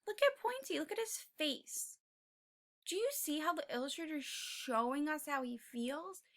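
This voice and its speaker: Animated, bright-voiced woman reading to children. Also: sits high, measured pace. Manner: expressive, prompting listeners with questions. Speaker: read-aloud teacher. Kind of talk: read-aloud to young children with questions.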